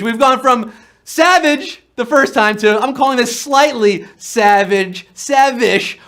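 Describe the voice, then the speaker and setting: High-voiced punning quiz show host. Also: male voice, fairly bright tone. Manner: playful, punning, fast-patter quiz patter. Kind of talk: upbeat live game show hosting.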